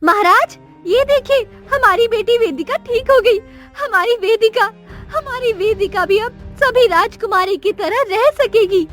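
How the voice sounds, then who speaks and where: A woman's voice: very high voice, a little slow, fairly bright tone, faint music behind, pitched above the performer's own voice. A woman voicing an earnest young queen and devoted mother in a cartoon moral story, speaking with feeling. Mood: tearful joy.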